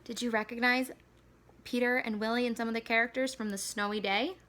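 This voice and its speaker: A woman narrates a children's book in a bright, slightly high voice. Her delivery is expressive and animated, shaped for young listeners. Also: a little fast, slight background noise. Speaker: school staff reader.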